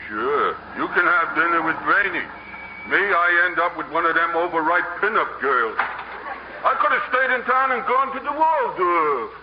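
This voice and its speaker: Man playing a grumbling crook, higher-pitched, old radio. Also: animated, normal speed, roomy sound, natural voice. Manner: slangy, grumbling. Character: grumbling slangy sidekick crook man, sour and skeptical. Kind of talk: 1950s radio comedy acting. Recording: noisy muffled old radio broadcast.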